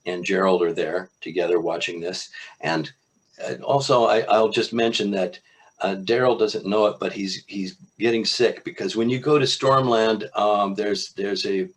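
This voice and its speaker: A man, an author, reading from his own novel in a measured literary style with informal asides. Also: lowish voice.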